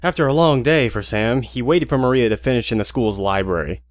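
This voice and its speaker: A man's voice: mid-range voice, a little fast. A man reading scripted narration as the narrator of an audio drama, with a faint hum.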